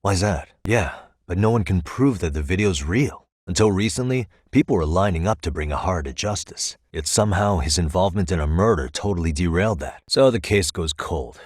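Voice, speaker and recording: A male actor voicing a composed, determined private detective in a video game, with a measured, probing delivery. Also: low-pitched, expressive, breathy edge, no echo, clean studio sound. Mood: focused, determined. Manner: measured, probing, matter-of-fact. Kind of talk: English video game dialogue dub. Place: dry recording studio.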